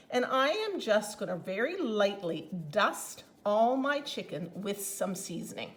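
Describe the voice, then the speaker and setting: A woman with a Newfoundland accent, chatting upbeat through a home cooking demonstration in her kitchen. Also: a little high. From Newfoundland, Canada. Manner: chatty, upbeat, enthusiastic about flavor. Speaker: cooking product consultant.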